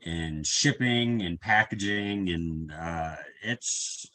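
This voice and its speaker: A man's voice: low-pitched, slow pace, a bit bright. A man hosting a casual interview over a video call. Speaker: interviewer, host.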